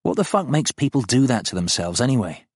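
A man voicing a gruff, irritable countryman who talks in blunt, colloquial phrases. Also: medium pitch, very animated, brisk, no room sound, studio quality, voice pushed up. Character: gruff, irritable countryman, hard-drinking and foul-mouthed.

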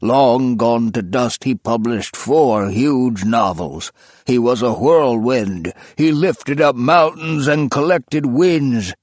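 A man voicing a feverish, imperious old book collector who talks with fierce, rapturous command, raised higher and louder than his narrating voice. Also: slow, dry recording.

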